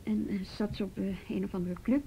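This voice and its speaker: A woman's voice: steady tone, brisk, vocal fry, dark tone, natural voice. Woman as probing, firm youth officer. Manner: questioning, matter-of-fact. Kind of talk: Dutch radio drama acting. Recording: noisy old radio drama recording, rumble.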